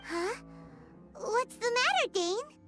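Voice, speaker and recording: A woman voicing a tiny, cheeky, excitable fairy companion in an anime video game, with a squeaky childlike voice. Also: takes it slowly, over music, heavily acted. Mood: curious.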